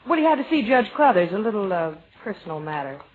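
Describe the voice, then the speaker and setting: A woman's voice: medium pitch, very animated, fast talker, natural voice. A woman with an American accent playing a wistful, nervously sweet young writer in a 1940s radio romantic comedy, conversational and coy. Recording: muffled old-time radio recording.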